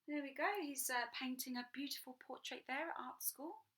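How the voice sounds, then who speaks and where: A woman reading a picture book aloud to children, sing-song and animated, dropping into whispers for little asides. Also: high-pitched, moderate pace. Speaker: children's storyteller.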